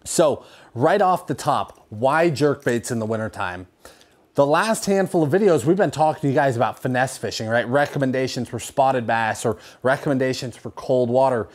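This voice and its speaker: A young man with a slightly raspy voice, hoarse from a cold, hosting a fishing lesson in a garage and explaining with lively hand gestures. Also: medium pitch, moderate pace. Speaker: fishing show host. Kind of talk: instructional talk to camera. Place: garage with fishing rods on the wall.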